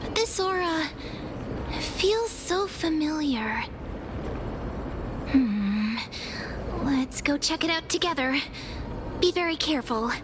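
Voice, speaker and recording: Very high-pitched, earnest little fairy girl. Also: expressive, takes it slowly, long pauses, noisy background. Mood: curious, uneasy. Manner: wistful, hesitant, childlike. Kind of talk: video game voice acting.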